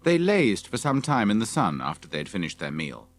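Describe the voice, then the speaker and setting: A man's voice: on the low side, wildly swooping pitch, normal speed. A man reading scripted narration for a children's audiobook.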